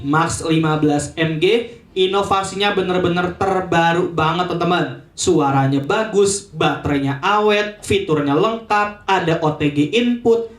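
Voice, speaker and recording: A man's voice: sits high, fairly bright tone. A man presenting a casual promotional product review, chatting to viewers like friends.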